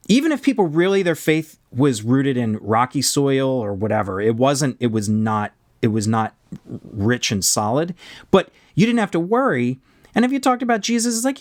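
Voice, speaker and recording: A man's voice: medium pitch, dry recording, clean studio sound. A man with an American accent hosting an earnest podcast discussion, emphatic and restating his points as he goes. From United States.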